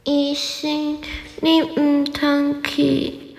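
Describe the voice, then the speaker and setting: A woman voicing a dying twelve-year-old girl who pleads weakly through tears, slow and faint, with a dreamlike echo effect on her voice. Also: sits high, completely flat delivery, darkish tone, full character voice. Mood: crying plea. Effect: echoing, dreamlike reverb. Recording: distant voice in long reverb.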